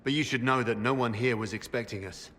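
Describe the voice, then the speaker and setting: A man's voice: mid-range voice, brisk, fairly bright tone, big-room sound, natural voice. A man playing a sober, dutiful scout in a video game, reporting plainly to his leader. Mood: businesslike, concerned. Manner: plain, businesslike.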